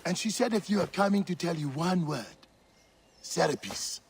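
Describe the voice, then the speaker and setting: A man's voice: fairly high pitch, lively intonation, normal speed, a little hiss or hum. An actor playing a jovial older man who teases and welcomes his friend. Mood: friendly. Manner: friendly, teasing, hearty. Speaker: village elder and friend.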